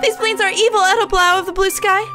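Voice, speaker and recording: A woman's voice: very high voice, steady tone, fast talker, over music, pitched above the performer's own voice. A woman voicing an anguished young male pigeon hero in a visual novel, with theatrical, urgent anime-style delivery. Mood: anguished, dramatic.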